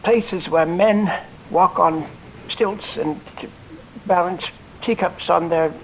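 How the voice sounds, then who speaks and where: An actor playing a celebrated explorer in a fantasy audio drama, giving grandiose, halting, theatrical speeches to an adoring crowd. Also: male voice, high-pitched, slight background noise, rough recording. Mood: grandiose, wistful.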